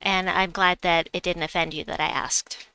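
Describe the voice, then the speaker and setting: A woman's voice: fairly low pitch, level tone, moderate pace, pushed and bright, clean tone, low-quality recording. A woman with a slight New York accent, streaming a game and chatting with her viewers as she plays. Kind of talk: casual live-stream chat while gaming.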